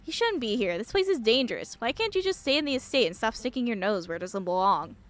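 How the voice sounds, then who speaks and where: Woman acting a scornful, high-voiced female mine boss. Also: sing-song, fast, fairly bright tone. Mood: irritated, scornful. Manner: scolding, dismissive.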